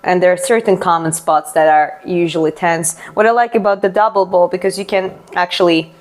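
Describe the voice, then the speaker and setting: A woman's voice: lowish voice, fairly even intonation, a little fast. A woman from Georgia whose first language is Russian, chatting casually on a live stream, answering viewer comments and explaining as she stretches, often restarting her words.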